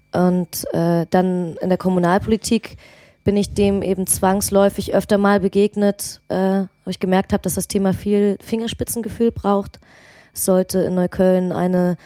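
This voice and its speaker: A woman's voice: mid-range voice, mellow, no echo. A woman from Germany, a local politician, talking on a podcast in a thoughtful way with many hesitations. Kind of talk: casual podcast conversation.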